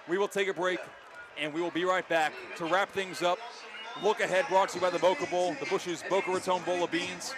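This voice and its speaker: High-pitched, emphatic male play-by-play announcer. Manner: emphatic, dramatic phrasing. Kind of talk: excited live football commentary.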